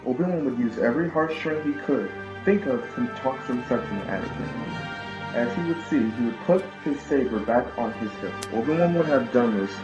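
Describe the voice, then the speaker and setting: A man narrating his own fan story in an earnest, slightly halting casual way. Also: mid-range voice, lively intonation, lo-fi sound. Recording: echoey room with music behind.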